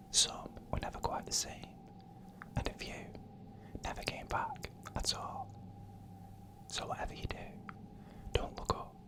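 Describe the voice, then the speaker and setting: An ASMR narrator telling scary stories in a breathy, unvoiced whisper, with a hushed and suspenseful delivery. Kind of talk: whispered ASMR horror storytelling, scripted narration.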